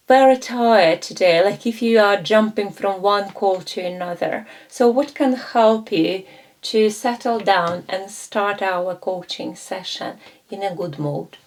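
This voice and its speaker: A woman instructor walks through a coaching lesson in a measured, explanatory way. She acts out short exchanges between coach and client, pausing on fillers and correcting herself as she goes. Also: mid-range voice, a little mellow. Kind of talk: lecture with role-play examples.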